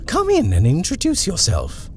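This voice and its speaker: Storybook housekeeper: a fussy, fluttery woman, played high by a male narrator. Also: huge pitch swings, darkish tone, slight background noise. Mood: coaxing. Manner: fluttery, hurried, insistent. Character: fussy bustling middle-aged female housekeeper.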